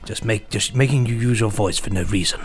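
A man's voice: fairly low pitch, fairly quick, husky, faint music behind. A British man co-hosting a podcast, reading out listener emails in a casual, jokey, theatrical way. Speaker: podcast co-host.

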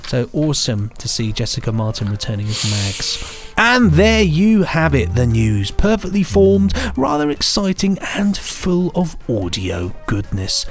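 A man co-hosting a podcast, chatting playfully and enthusiastically, sometimes stammering in quick bursts. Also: a little high, sing-song, faint music behind. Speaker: podcast co-host. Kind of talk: casual podcast chat.

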